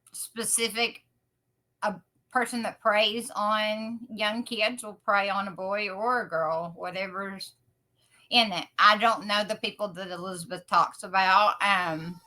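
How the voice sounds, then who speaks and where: An American woman co-hosting a podcast in casual conversation. Her voice is bright and forward with a medium pitch, and she takes her time between phrases. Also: low-quality recording. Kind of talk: casual chat.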